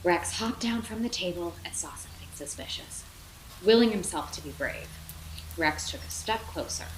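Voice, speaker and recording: Female storyteller, lively and expressive, lower-pitched, for a children's bedtime tale in a roomy, noisy recording. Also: normal speed. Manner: animated, theatrical, with dramatic whispers and asides. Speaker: storyteller playing a mother reading at bedtime. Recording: roomy echo, clear background noise.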